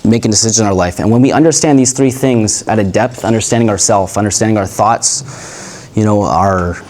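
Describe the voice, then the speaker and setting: A man's voice: lowish voice, a little fast, slight background noise. A man coaching a live workshop group, talking in a loose, rambling way that often circles back and corrects itself. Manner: relaxed, rambling, self-correcting. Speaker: communication coach.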